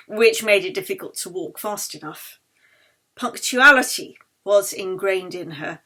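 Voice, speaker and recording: A woman narrates literary fiction as its author. Her delivery is scripted and expressive, and her voice sits in a middle register. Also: medium pitch, husky. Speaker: author reading own novel.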